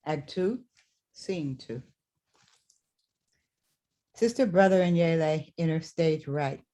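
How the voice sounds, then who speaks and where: A woman narrator with a fairly low voice reads out stage directions. It is scripted narration for a staged drama reading. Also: expressive, slow, dark tone.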